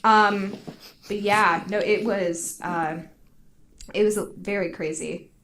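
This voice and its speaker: A woman with an American accent co-hosting a podcast, telling stories casually in an animated, giggly way. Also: mid-range voice, slow pace, slight background noise. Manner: animated, giggly, often swearing. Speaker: podcast guest co-host. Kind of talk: casual storytelling chat.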